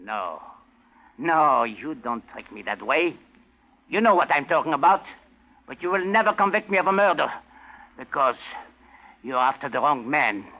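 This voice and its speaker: An actor playing an impatient, desperate fugitive naval commander in a 1940s radio drama, tense, curt and insistent. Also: male voice, a little high, animated, normal speed, long pauses, lightly acted. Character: desperate, impatient male fugitive German naval commander under false name. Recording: dull 1940s radio recording.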